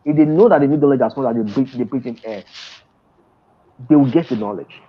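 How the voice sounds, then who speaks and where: A man's voice: medium pitch, fairly quick. A middle-aged man, a guest on a video call interview, talking emphatically with many restarts. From Nigeria. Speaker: guest trader and mentor. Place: indoor room, seated in an office chair. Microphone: earphone headset on a video call. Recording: dull lo-fi video call audio.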